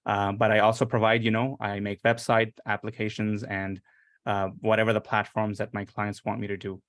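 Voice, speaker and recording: A young man from India introducing himself on a panel, earnest and steady, with frequent fillers. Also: lowish voice, normal speed, no echo, clean studio sound. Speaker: panelist, business owner.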